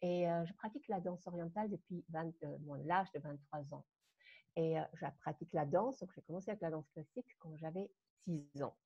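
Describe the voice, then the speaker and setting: German-accented woman, husky and low. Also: fairly quick. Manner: animated, laughs between phrases, frequent hesitations. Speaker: guest dance teacher. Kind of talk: personal storytelling on a live call. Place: home room. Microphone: webcam on a video call. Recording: muffled video call audio.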